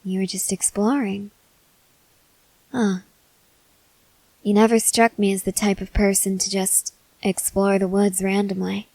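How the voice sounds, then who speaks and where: A woman playing a stoic, confident young woman soldier in an ASMR roleplay, speaking in a measured, serious, guarded way with hesitant pauses. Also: medium pitch, flat delivery, dark tone, dry recording, rough recording. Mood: cool, confident. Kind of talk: ASMR roleplay, acted scene to a listener.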